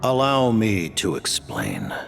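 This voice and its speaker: A solemn ancient commander in a game cutscene, his voice very deep and gravelly. He rides up and down a lot as he lays things out in slow, weighty pronouncements, with soft music under him. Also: huge pitch swings, heavily acted. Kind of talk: video game cutscene voice acting.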